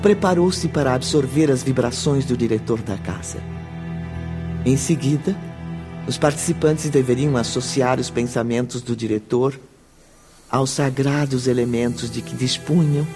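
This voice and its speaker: A man's voice: mid-range voice, a little slow, music in the background, close to an everyday voice. A man with a Brazilian accent narrating a solemn spiritist radio drama. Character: solemn male storyteller of a spiritist tale.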